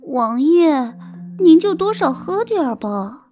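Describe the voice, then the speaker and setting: An actress playing a coquettish, scheming young concubine in an audio drama, with a simpering, wheedling manner. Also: female voice, high voice, very animated, slow pace, mellow, full character voice. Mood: wheedling, hurt. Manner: simpering, affected, sweetly wheedling. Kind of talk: Chinese audio drama acting. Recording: music bed behind the voice.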